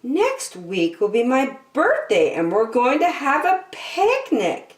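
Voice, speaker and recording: A woman voicing an excitable young girl mouse in a children's storybook, eager and quick to fret, with an emphatic delivery. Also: sits high, reverberant, pitched above the performer's own voice, full character voice. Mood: excited.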